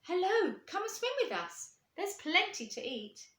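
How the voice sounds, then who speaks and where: A woman's voice: extremely high pitch, big pitch swings, unhurried, a bit bright, pitched above the performer's own voice, heavily acted. A woman voicing friendly, welcoming swans in a storybook, calling out to a companion. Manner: exclamatory, calling out. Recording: echoey room.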